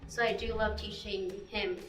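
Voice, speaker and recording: A woman's voice: medium pitch, steady tone, normal speed, a bit bright. Woman teacher, echoey and distant. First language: Spanish. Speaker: dual language teacher. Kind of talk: interview testimonial.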